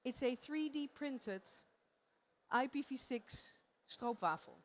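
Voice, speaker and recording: Dutch woman on a conference stage, chatty and playful, laughs a lot, voice sits a bit high. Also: expressive, takes it slowly. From Netherlands. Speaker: conference presenter. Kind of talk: live conference talk to an audience.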